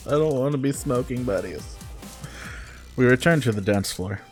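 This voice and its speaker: A man's voice: mid-range voice, expressive, normal speed. A man streamer reading a visual novel aloud, breaking off for jokey, mock-disgusted casual asides. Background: music.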